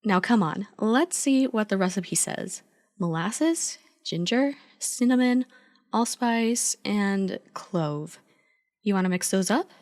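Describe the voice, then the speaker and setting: A woman's voice: medium pitch, animated, slow pace, a little mellow, no echo, studio quality. A woman playing an affectionate, playful girlfriend in an ASMR roleplay, chatty, teasing and supportive as an eager but clumsy baker. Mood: warm, focused.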